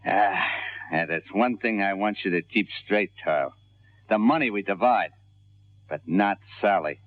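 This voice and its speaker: An actor playing a gruff, possessive crook in 1940s radio crime drama style. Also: male voice, on the low side, a little slow, no room sound, lightly acted. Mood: tipsy, possessive. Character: gruff, possessive tough-guy male crook, easily charmed. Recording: thin, tinny old radio recording.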